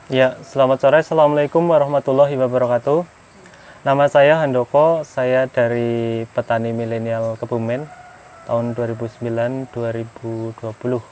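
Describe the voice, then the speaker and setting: A man's voice: medium pitch, fairly even intonation, normal speed, darkish tone, a little hiss or hum. Modest farmer in his thirties. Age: 30s. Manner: plain, modest, hesitant with fillers. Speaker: young farmer guest. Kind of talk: casual interview answers. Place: outdoor greenhouse on a hillside.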